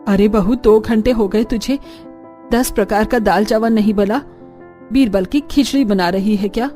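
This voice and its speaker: A woman voicing a bossy middle-aged mother-in-law in a cartoon story, brisk and commanding. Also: medium pitch, warm tone, faint music behind, close to an everyday voice. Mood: scolding.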